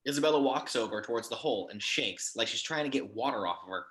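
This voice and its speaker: A man's voice: medium pitch, animated, fast, a bit bright. A man narrating action descriptions in a scripted table read.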